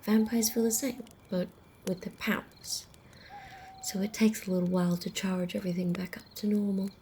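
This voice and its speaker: Woman acting as ancient female vampire, ASMR roleplay, unhurried and wry, soft whispery delivery, gravelly creak, faint night-cricket noise behind. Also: medium pitch, steady tone, close to an everyday voice. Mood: calm, explaining. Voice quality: soft, breathy, often whispered. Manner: unhurried, amused, conversational. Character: centuries-old female vampire, wry, teasing, casually menacing.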